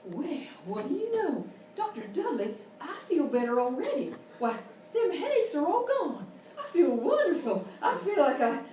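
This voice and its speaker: A cranky, gullible farm wife played by a woman with a Southern country twang, very high and swooping. It is live radio-style comedy, caught at a distance in a noisy, echoing room. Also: very animated, normal speed, dark tone. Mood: delighted. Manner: folksy, complaining, exaggerated. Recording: roomy, distant, noisy live stage recording.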